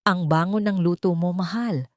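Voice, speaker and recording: A woman's voice: on the low side, moderate pace, a little mellow, no echo, studio quality, close to an everyday voice. A woman voicing a loving, peaceful man in a romantic audio story. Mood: tender, peaceful. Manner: tender, peaceful. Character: loving, repentant rich man, tender husband.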